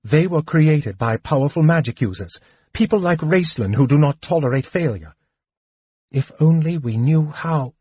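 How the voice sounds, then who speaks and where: A determined young woman speaks briskly and impatiently, as a man reading aloud puts her on. Her voice is raised higher than his own, with a husky edge. The recording is dry and slightly dull. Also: lively intonation, mellow, no echo. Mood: earnest.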